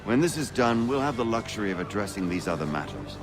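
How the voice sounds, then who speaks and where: An actor playing a genteel, persuasive colonial patriot politician in a video game, polished and diplomatic. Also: male voice, on the low side, big pitch swings, quick pace, over music. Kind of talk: dramatic video game acting.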